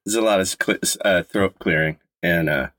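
A man in his fifties from Colorado, co-hosting a podcast in casual chat, talking with a slight stutter and halting restarts. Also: sits low, bright, forceful tone, dry recording, clean studio sound. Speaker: podcast co-host.

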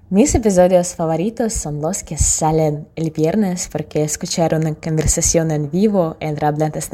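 A woman's voice: sits low, warm tone. A woman of twenty-two with a Russian accent, giving a prepared, rather formal spoken message in learner Spanish. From Moscow, Russia. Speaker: podcast listener sending a voice message.

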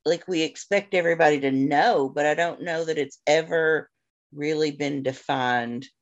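A woman's voice: sits low, normal speed, muffled recording. A woman co-hosting a podcast, chatting in an agreeable, easygoing way with fillers. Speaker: podcast co-host. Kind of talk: casual podcast chat.

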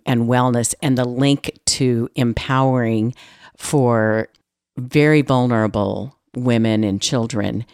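A man's voice: medium pitch, no room sound, studio quality. A man hosting an interview, asking reflective questions and affirming his guest's answers. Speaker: interview host. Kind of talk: podcast interview.

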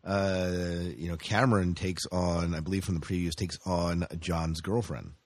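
Male podcast co-host, low register, dry sound, casual and halting conversational delivery. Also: fairly even intonation, no room sound. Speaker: podcast co-host leading the discussion. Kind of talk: casual TV discussion chat.

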